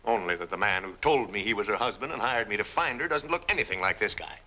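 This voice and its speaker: An actor playing a brisk, no-nonsense private investigator, terse and questioning, in a 1940s mystery film. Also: male voice, on the low side, animated, lightly acted. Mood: brisk, matter-of-fact. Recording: noisy old film soundtrack.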